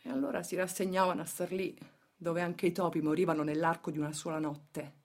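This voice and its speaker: An actress playing a worker's bitter, plain-spoken daughter giving grave testimony in a radio theatre monologue. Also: female voice, on the low side, fairly quick, vocal fry, a little mellow. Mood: resigned.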